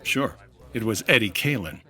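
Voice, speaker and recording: An actor playing a cool, world-weary hard-boiled insurance investigator in a 1950s-style radio detective drama. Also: male voice, on the low side, expressive, normal speed, over loud music, natural voice. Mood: steady.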